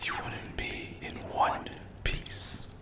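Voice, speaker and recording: A performer voicing a sadistic, possessive demon in an ASMR dark fantasy roleplay, whispering menacing mock endearments to captive prey. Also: natural voice. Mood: cruel, threatening. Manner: slow, menacing, mock-sweet purring whisper.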